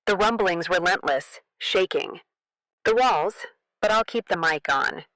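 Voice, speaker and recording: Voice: mid-range voice, lively intonation, measured pace, bright, forceful tone, dry recording, low-quality recording. A performer playing a radio broadcaster who stays on air amid a deadly outbreak, reading scripted emergency reports. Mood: tense, resolute.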